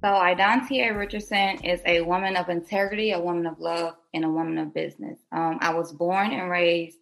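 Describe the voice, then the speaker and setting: A woman from South Carolina who grew up in Detroit, speaking as a podcast guest in a relaxed, self-assured way. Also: on the low side, a little fast, creaky, soft background music, poor audio. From South Carolina, raised in Detroit. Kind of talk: casual interview.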